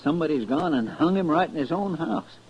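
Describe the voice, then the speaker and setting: An actor playing a loyal, excitable deputy sidekick in a radio western, with a drawling, deferential, homespun manner. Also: male voice, on the high side, fairly quick, dull audio. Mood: shaken. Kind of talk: 1950s radio western acting. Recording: noisy old-time radio recording.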